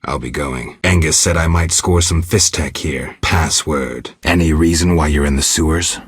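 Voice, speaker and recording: A man playing a gruff, laconic monster hunter for hire in a video game, speaking tersely with a dry, deadpan delivery and a gravelly rasp. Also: extremely low pitch, normal speed, no room sound. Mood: flat, businesslike. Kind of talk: video game dialogue acting.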